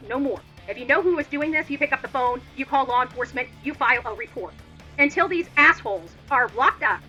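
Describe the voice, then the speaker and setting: A woman's voice: very high-pitched, pushed and bright. A woman livestream host delivering an indignant, opinionated rant in a combative, emphatic and repetitive manner.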